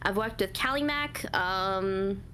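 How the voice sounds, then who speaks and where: A woman with a naturally high voice, answering interview questions about her voice acting and art in a relaxed, hesitant way, with many pauses and fillers. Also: slight background noise. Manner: relaxed, hesitant, many ums, laughs at herself. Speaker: voice actor and artist, interview guest. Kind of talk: casual Q&A interview.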